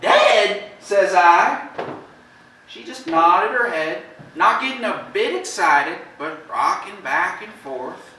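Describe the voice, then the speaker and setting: Folksy neighboring farmer played by a man, halting storyteller, slow and lively-pitched, amateur stage play heard from afar. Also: full character voice. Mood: shaken, animated. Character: plain-spoken neighboring farmer recounting a shocking visit. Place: theatre stage, indoors. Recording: echoey stage from far off, faint noise.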